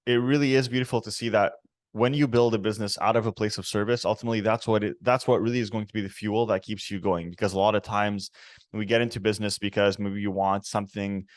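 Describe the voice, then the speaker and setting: A man's voice: lowish voice, steady tone, fast, no echo, clean studio sound. A man hosting a podcast interview, asking questions in an encouraging way with many affirming murmurs. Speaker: podcast host. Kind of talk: interview conversation.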